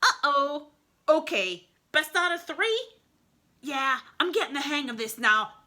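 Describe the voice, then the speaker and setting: A woman's voice: high voice, unhurried, pitched above the performer's own voice, heavily acted. A woman voicing a boastful, overconfident male wolf who leads the team, speaking eagerly. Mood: excited. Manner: eager, excitable.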